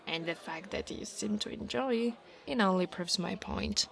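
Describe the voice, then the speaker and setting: A woman's voice: medium pitch, fairly bright tone, full character voice. A woman playing a big, domineering but insecure dragon college bully in an ASMR roleplay, with a teasing, haughty manner. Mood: smug teasing. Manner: teasing, haughty, drawn-out delivery with breathy asides.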